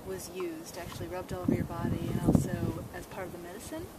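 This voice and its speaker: A woman's voice: fairly low pitch, level tone, fast. A woman interviewing a guide outdoors, asking her questions in an eager, upbeat way. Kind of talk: friendly travel interview. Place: outdoors at a mountain site. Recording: distant mic with loud outdoor noise.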